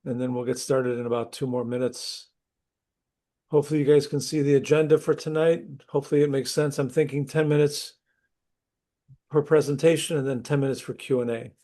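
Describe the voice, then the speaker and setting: A man's voice: mid-range voice, fairly even intonation, a little fast, dark tone. Man with a cold, chatty instructor. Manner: conversational, clears throat often, restarts sentences. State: losing his voice from a cold. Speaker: university instructor. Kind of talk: opening a class session online. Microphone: computer mic on a video call.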